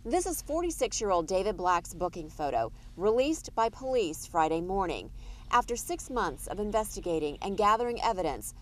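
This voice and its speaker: A woman's voice: medium pitch, big pitch swings, moderate pace. A woman with an American accent narrates a scripted television news package as a reporter. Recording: faint vehicle noise behind.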